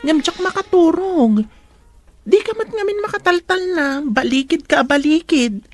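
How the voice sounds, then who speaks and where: Radio drama role: concerned, easily alarmed friend, very high pitch, fretful questioning. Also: female voice, lively intonation, unhurried, darkish tone. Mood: drowsy concern. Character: concerned young woman confidante, easily alarmed. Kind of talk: Ilocano radio drama acting.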